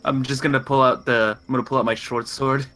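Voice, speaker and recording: Man playing a tabletop game, mid-pitched voice. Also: level tone, quick pace, pushed and bright. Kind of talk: casual roleplay chat.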